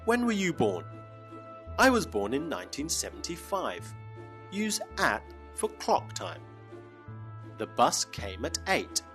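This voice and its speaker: A man hosting an English lesson, giving scripted explanations and modeling phrases slowly for learners to repeat. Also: a little high, huge pitch swings, a bit bright, faint music behind. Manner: slow, clearly modeled phrases for repetition. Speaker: English teacher host.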